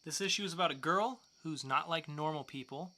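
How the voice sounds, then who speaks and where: A man's voice: fairly high pitch, fairly quick, pushed and bright. A man co-hosting a podcast in casual banter, speaking loosely and swearing freely. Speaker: podcast co-host.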